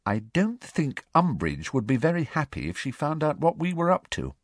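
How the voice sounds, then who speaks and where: A man's voice: a little high, huge pitch swings, moderate pace, no room sound, studio quality, voice pushed up. A man putting on an earnest bossy schoolgirl. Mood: persuasive. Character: clever bossy teenage schoolgirl, earnest and persistent.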